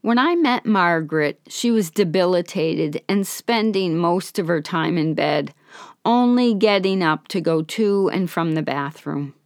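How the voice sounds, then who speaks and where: A woman podcast host narrating a story in a calm, gentle, measured way while slightly hoarse from being under the weather. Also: lowish voice, no room sound, clean studio sound.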